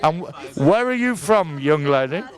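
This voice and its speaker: A man's voice: a little high, expressive, moderate pace. A man with a Northern English accent doing jokey, bantering street interviews as a host at an outdoor market. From northern England.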